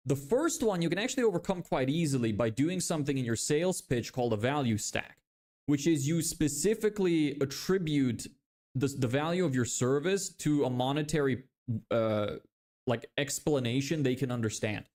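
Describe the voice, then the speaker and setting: Young Lithuanian man streaming live. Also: a little high. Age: 20s. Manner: relaxed, reads viewer questions aloud, frequent fillers and restarts. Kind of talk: casual live-stream chat, explaining sales tactics.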